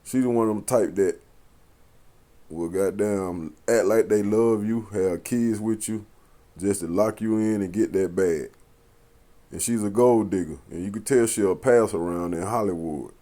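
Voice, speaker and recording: A man's voice: on the low side, a little slow, warm tone. A man giving casual reaction commentary in a blunt, slangy, accusatory manner.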